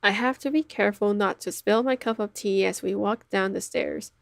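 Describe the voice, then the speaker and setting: A woman's voice: mid-range voice, moderate pace, creaky, no echo. A woman streamer reading a visual novel aloud, mixing narration with casual reactions. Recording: game music faintly behind the voice.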